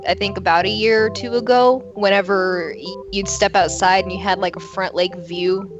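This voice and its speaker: A woman's voice: mid-range voice, fairly even intonation, normal speed, lo-fi sound, over music. A young woman from Oklahoma chatting in a rambling, casual way on a podcast, with lots of fillers. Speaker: podcast co-host.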